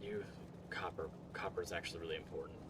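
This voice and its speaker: Low-voiced man musing over his game. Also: steady tone, quick pace, heavy background noise. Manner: mumbling to himself, musing over puzzles, greets chat. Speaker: game streamer. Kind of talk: casual live-stream commentary while playing.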